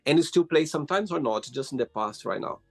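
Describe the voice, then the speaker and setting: Male interview host, gravelly, husky, encouraging, casual, interested exclamations. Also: mid-range voice, lively intonation, fast, faint music behind.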